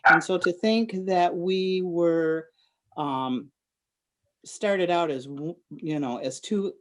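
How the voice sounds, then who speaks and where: A woman's voice: lowish voice, slow pace. A woman in her sixties from Michigan with an American accent, reminiscing in a group interview. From Michigan, United States. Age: 60s. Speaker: scout troop member.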